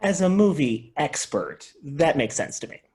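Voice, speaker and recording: A man's voice: a little high, very animated, unhurried, darkish tone. A man playing an earnest, dutiful video store clerk and movie buff in a comedy script read.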